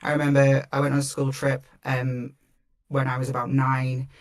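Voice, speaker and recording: A man's voice: mid-range voice, completely flat delivery, moderate pace. A man from Wales chatting casually and telling personal stories. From north Wales. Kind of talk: casual chat, personal storytelling.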